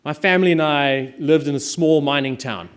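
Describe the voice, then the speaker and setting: A middle-aged South African man, raised speaking Afrikaans, delivers a prepared keynote with a somewhat raised voice. He speaks in a measured, emphatic way, leaning on key words and pausing deliberately between phrases. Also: fairly high pitch, clear, resonant tone. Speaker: conference speaker.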